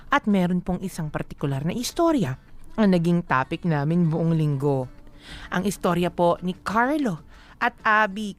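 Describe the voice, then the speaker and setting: An animated, lower-voiced letter narrator. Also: female voice. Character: aggrieved young female pharmacist narrating her letter. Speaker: letter narrator in radio drama. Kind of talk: dramatized first-person letter narration, conversational. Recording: faint music behind the voice.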